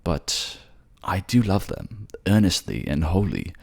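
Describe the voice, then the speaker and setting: A kind, courtly prince from a fantasy audio drama, acted out by a man. His voice swings in lively arcs, lifted well above the actor's own low register, as he gently teases and reassures. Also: takes it slowly, creaky, slightly rough, heavily acted. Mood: earnest, soothing. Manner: chatty, courtly, teasing.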